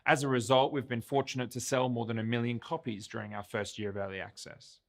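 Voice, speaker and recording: Male game developer, average pitch, scripted showcase announcement delivery. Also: little pitch movement, quick pace, gravelly.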